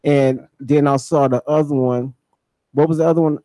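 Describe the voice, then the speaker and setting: A man's voice: a little high, fairly even intonation, moderate pace, mellow, dry recording. An American man hosting a casual radio interview, talking in slangy, hyped-up phrases with lots of repetition. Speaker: radio show host.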